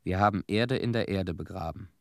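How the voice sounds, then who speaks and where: An actor playing a haunted disaster cleanup veteran in a radio play, telling his story in short, measured, somber phrases. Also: deep voice, vocal fry, no room sound, studio quality. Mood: somber, bitter. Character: haunted Chernobyl cleanup veteran, a man bearing witness.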